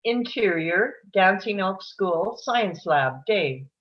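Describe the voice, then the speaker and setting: Woman, scripted narration, reading screenplay directions, middle pitch, dull lo-fi recording. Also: lively intonation, slow pace. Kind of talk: scripted narration in live table read.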